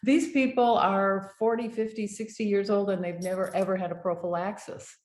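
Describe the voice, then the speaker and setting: An older American woman, a dental hygienist, telling animated stories in a casual interview. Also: medium pitch, normal speed. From United States. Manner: animated anecdotes with laughter. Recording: echoey room, faint noise.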